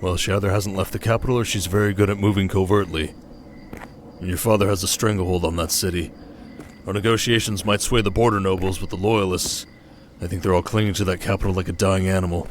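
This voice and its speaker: A man playing a steady, protective desert king in an ASMR audio drama, speaking in a measured, intimate way. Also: sits low, slight background noise, natural voice. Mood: concerned, tender.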